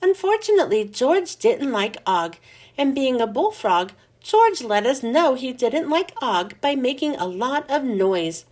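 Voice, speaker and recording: A woman reading a children's chapter book aloud in a lively, expressive storybook manner. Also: high voice, measured pace.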